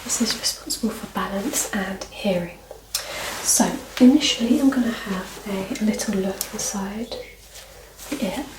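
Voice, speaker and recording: A woman speaking softly and partly in whispers as a doctor in an ASMR medical exam roleplay, giving gentle, reassuring instructions. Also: mid-range voice, a little slow. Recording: roomy, distant mic, clear noise and clicks.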